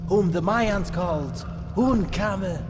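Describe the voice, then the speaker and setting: High-pitched grandiose villain. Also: male voice, slow pace, music in the background. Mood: ominous. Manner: grandiose, taunting, formal. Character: megalomaniac villain, grandiose, mocking, theatrical treasure hunter. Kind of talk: video game cutscene acting.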